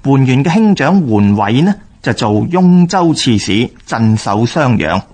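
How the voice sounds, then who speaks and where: A man with a Hong Kong Cantonese accent reads scripted historical radio narration in a formal, measured way. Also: medium pitch, sing-song, a little mellow.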